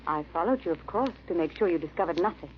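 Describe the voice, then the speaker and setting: An actress playing a cold, proud European countess and murderess in a 1940s-style radio drama. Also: female voice, on the low side, expressive, fast talker, natural voice. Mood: cold, resigned. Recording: muffled, noisy old-time radio recording.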